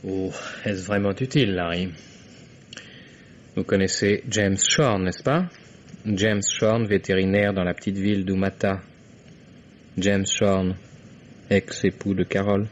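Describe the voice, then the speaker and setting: Jeune enquêteur insistant, son radio sourd. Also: male voice, deep voice, steady tone, slow, long pauses. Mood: formal, pointed. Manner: formal, pointed. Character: young male investigator, insistent, methodical accuser. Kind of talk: old-time French radio mystery acting. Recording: dull, noisy vintage radio recording.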